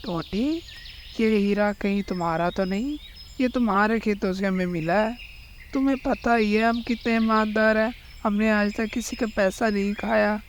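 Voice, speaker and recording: A man's voice: high voice, moderate pace, a little hiss or hum. A man playing a boastful, sly crow who farms in a children's cartoon, with a mocking manner. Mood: sly, taunting. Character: boastful, sly male crow, a rich farmer. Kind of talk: Hindi cartoon dubbing.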